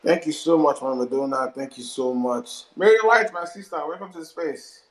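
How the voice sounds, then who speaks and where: A Nigerian man hosting an audio chat room, thanking speakers and teasing them. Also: on the high side. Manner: quick, teasing. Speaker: space host. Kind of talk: casual hosting.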